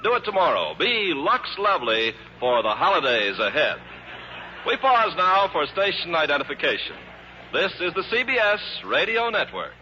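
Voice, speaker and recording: A man's voice: fairly high pitch, big pitch swings, normal speed, noisy background. A man reading a 1950s radio commercial with polished, emphatic salesmanship as the announcer. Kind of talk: 1950s radio advertising pitch. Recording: dull, reverberant vintage radio broadcast.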